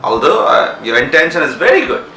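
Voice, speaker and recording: A man's voice: a little high, big pitch swings, fairly quick, sharp tone, echoey room, far from the mic, slight background noise, poor audio. A man with a Pakistani English accent teaching a grammar lesson, repeating points and checking understanding with questions. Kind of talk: classroom grammar lecture.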